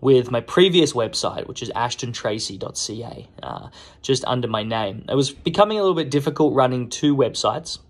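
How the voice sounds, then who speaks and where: A man's voice: medium pitch, normal speed. Man, 30s, watchmaker, loose easygoing tour talk, filler-heavy, phone-close sound in a small workshop. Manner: relaxed, rambling with many fillers. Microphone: phone camera held close.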